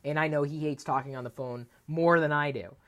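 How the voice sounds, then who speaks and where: A man's voice: on the high side, fairly even intonation, a little fast, fairly bright tone. A man hosting a live tech show with casual commentary, griping in a comically exasperated manner. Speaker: host.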